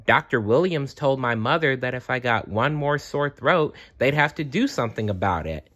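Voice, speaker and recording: A man's voice: mid-range voice, expressive, moderate pace, fairly bright tone, pitched above the performer's own voice. A man voicing a stubborn young schoolgirl with a sore throat in a storybook, insistent in manner. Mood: plaintive, stubborn.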